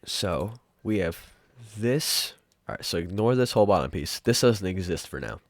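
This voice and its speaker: A young man hosting a casual software tutorial in an informal, rambling way. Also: sits low, expressive, moderate pace, dry recording, clean studio sound. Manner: informal, rambling, mild swearing. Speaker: tutorial host. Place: room at home.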